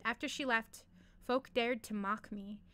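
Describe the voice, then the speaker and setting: A woman's voice: fairly high pitch, steady tone, measured pace, pushed and bright. A woman voicing a mad, boastful undead male sorcerer from a fantasy story, menacing and grandiose. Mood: bitter boasting.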